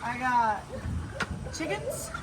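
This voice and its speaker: A woman with a high voice chats casually on a family vlog. Clear background noise runs through the handheld recording. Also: very animated, extremely slow. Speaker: family farm vlogger.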